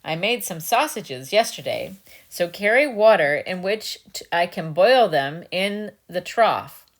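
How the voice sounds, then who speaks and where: A woman's voice: on the low side, lively intonation, unhurried. A woman voicing a practical, cautious aged grandmother, giving plain instructions in a fairy tale reading. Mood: matter-of-fact.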